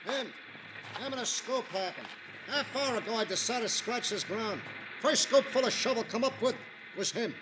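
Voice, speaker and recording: An actor playing a rattled working-class American shovel operator in a radio drama, speaking in a halting, rustic way. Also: male voice, extremely high pitch, animated. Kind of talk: 1950s American radio drama character acting. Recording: noisy old radio, outdoor sound effects.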